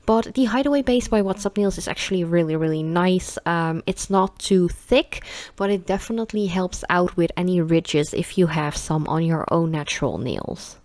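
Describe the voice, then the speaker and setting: A Dutch woman, speaking English as a second language, rambling chattily through a nail polish vlog with many ums and soft laughs. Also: fairly low pitch, normal speed, no room sound. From Netherlands.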